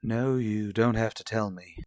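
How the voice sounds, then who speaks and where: An actor playing a stern, guarded husband in a radio soap drama, speaking in a formal, measured, skeptical way. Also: male voice, fairly low pitch, darkish tone, no echo, clean studio sound, close to an everyday voice. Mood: incredulous. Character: stern, guarded husband and father in troubled marriage. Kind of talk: revived vintage radio soap drama acting.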